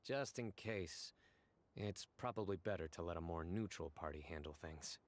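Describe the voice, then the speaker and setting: An actor playing a stern, reserved official in a video game, speaking in a serious, measured and understated way. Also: male voice, deep voice, a little hiss or hum, natural voice.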